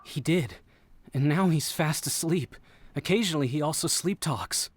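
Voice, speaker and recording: A man playing an anxious townsman in a video game, halting and uncertain. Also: fairly high pitch, a little hiss or hum, natural voice. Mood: uneasy.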